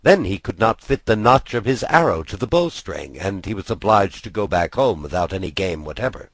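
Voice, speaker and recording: Male storybook narrator, husky and low, measured dramatic phrasing, close dry sound. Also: very animated, no echo. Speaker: audiobook narrator. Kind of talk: expressive scripted storytelling narration.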